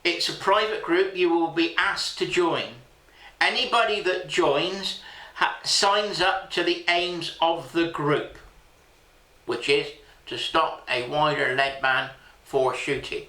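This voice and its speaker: A man's voice: on the high side, slow pace, sharp tone. A man hosting a country sports channel, making an earnest, plain-spoken campaign appeal to viewers. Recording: echoey room.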